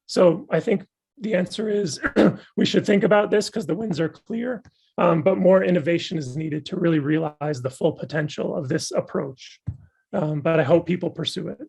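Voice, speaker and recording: Male guest lecturer, voice going hoarse, husky and fairly high-pitched, eager and reflective. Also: moderate pace, a little mellow. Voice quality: gravelly and husky. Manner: thoughtful, enthusiastic academic explaining. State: losing his voice. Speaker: guest speaker. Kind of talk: answering audience questions after a talk.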